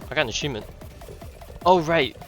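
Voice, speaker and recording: A man's voice: fairly high pitch, animated, brisk, fairly bright tone. A man streaming a video game, chatting casually and thinking aloud in a rambling way, often repeating his words. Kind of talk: casual livestream gaming chat. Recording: dull lo-fi mic, game music behind. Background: video game music.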